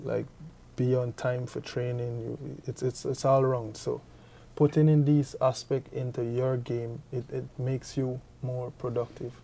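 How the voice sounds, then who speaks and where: Halting young cricketer from Guyana. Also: male voice, medium pitch, little pitch movement, gravelly, warm tone. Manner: halting, repeats words often. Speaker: cricketer guest. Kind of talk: interview answers.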